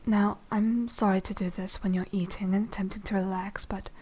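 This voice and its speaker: A woman playing a polite, secretive young noble vampire lady in a soft, half-whispered ASMR roleplay, speaking formally and with measured care. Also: medium pitch, flat delivery, lightly acted. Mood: politely firm. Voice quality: soft, breathy whispering edge. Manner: hushed, formal, measured, genteel.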